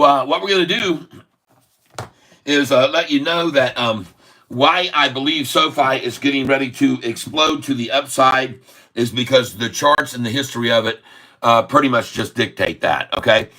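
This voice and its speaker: Bright-voiced man in his sixties, jokey. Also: medium pitch, normal speed. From Haiti. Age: 60s. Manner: jokey, upbeat, chuckling often. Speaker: stock livestream host. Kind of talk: casual live stock chat with singing.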